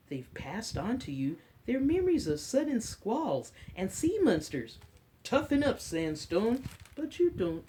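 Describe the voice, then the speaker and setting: A woman's voice: fairly high pitch, mellow, slight background noise. An American woman hosting a children's story time, speaking in a teacherly way with simple short phrases and questions to her listeners. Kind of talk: story time talk and read-aloud for children.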